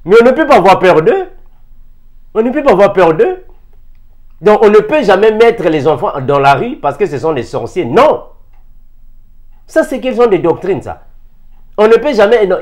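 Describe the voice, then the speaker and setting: A man delivers a sermon. His voice sits a little high, and he drives his points home with repeated phrases and pointed rhetorical questions. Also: darkish tone. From Africa. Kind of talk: impassioned sermon addressed to fellow believers.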